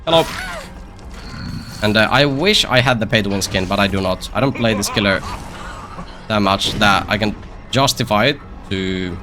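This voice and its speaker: A man's voice: fairly low pitch, moderate pace, pauses often, pushed and bright, soft background music. A man giving casual gameplay commentary as a streamer, rambling and repeating phrases with self-deprecating, joking asides. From Finland. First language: Finnish. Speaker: game streamer.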